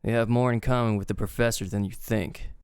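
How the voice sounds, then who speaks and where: A man's voice: fairly low pitch, steady tone, a little fast, clean tone, no echo, clean studio sound, natural voice. A young man playing a guarded, cryptic college roommate in a visual novel.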